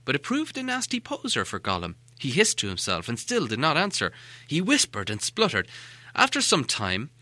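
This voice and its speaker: A man narrating a storybook as an audiobook reader, with an expressive, dramatic delivery. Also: medium pitch, moderate pace, no room sound. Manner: expressive, animated.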